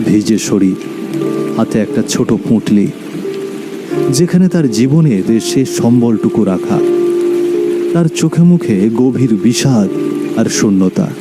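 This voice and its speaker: Unhurried, solemn male story narrator. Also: mid-range voice, lively intonation, poor audio. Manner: solemn, deliberate. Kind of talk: scripted dramatic story narration. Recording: loud music behind the voice.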